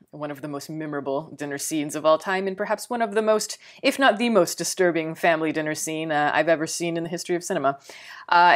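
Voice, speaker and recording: Woman, Texan accent, low pitch, film reviewer, casual rambling delivery, fillers and restarts. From Texas. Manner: rambling, thinking aloud with frequent fillers and repeated words.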